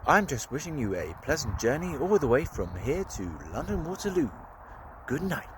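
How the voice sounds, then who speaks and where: A man putting on a smooth train captain. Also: on the high side, very animated, normal speed, background noise, pitched above the performer's own voice. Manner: suave, polished announcer delivery. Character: smooth-voiced male train captain making an announcement.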